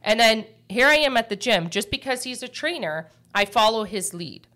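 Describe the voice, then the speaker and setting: A woman's voice: on the high side, normal speed. A middle-aged woman hosting a mortgage podcast, chatting casually and telling stories with lots of fillers. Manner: chatty, animated storytelling with frequent fillers. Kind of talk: casual chat.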